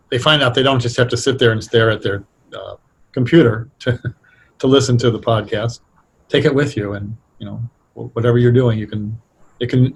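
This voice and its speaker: A man's voice: medium pitch, slightly rough. A middle-aged or older man talking casually as an interview guest, explaining things in a reflective, hesitant way. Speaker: guest, radio drama writer.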